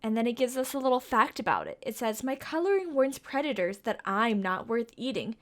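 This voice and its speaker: A woman's voice: on the high side, sing-song, brisk. A young woman reading a picture book aloud to children, with a sing-song, coaxing way of asking the listeners questions. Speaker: children's storytime reader.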